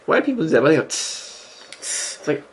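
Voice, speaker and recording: A man's voice: a little high, very animated, racing, slightly rough, poor audio. A young man chatting casually and playfully, often laughing. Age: young adult. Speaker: co-host. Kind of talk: casual chat.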